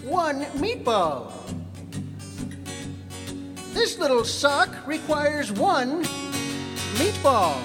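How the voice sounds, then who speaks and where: A man putting on a squeaky falsetto for a little sock-puppet sidekick, singing slowly. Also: wildly swooping pitch, long reverb tail, music in the background, heavily acted. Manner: squeaky falsetto, slow sing-song.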